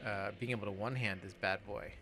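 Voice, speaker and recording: A man's voice: lowish voice, steady tone, fast talker, a crowd in the background. A man hosting a let's play, giving casual gaming commentary.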